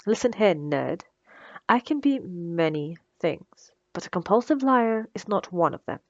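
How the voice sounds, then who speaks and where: A woman's voice: lowish voice, animated, a little slow, no echo, muffled recording. A woman playing a smug, sarcastic female supervillain who taunts her captive with theatrical condescension in an ASMR roleplay. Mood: menacing, firm. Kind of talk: ASMR roleplay audio drama.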